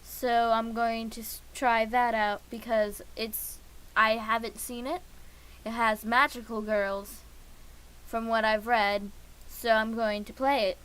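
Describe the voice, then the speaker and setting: A woman's voice: on the high side, little pitch movement, takes it slowly, a bit bright, clear, resonant tone, poor audio. A young woman streaming a game, chatting casually to herself and humming filler sounds while she waits.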